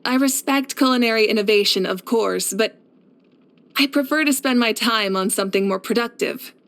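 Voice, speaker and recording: Video game heroine: a warm, confident young female leader and warrior, higher-pitched, measured and sincere. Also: creaky. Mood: thoughtful. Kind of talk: scripted video game character voice lines.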